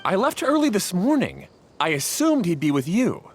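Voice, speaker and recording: A man's voice: sits high, huge pitch swings, normal speed, a little hiss or hum. A man playing a defensive, grumbling young man, a bickering brother, in a video game.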